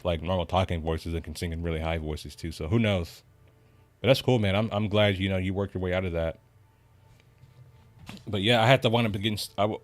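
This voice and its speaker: Young male streamer, low voice, relaxed rambling chat full of fillers. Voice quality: deep voice. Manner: laid-back, rambling, lots of "man" and "you know". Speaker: game streamer. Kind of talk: casual livestream chat.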